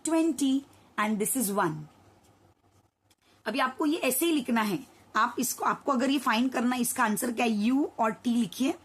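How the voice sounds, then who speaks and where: A young woman teaching a children's maths lesson, her voice hoarse, counting slowly aloud and asking the children questions. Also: a little high. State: hoarse from shouting at school.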